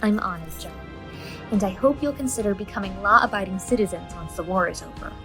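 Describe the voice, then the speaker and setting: A woman voicing a principled teenage waterbender girl, speaking in an earnest, expressive way, in a cartoon dub. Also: medium pitch, brisk, over music, close to an everyday voice. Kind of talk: fan comic dub acting.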